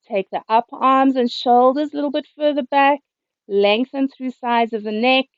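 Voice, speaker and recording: A woman teaches a yoga class in a calm, steady voice that is slightly high and a little hoarse. She cues each breath and movement as she talks. The sound is dry and dull, coming through a video call. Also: no room sound. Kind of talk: guided yoga instruction. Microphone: computer mic on a video call.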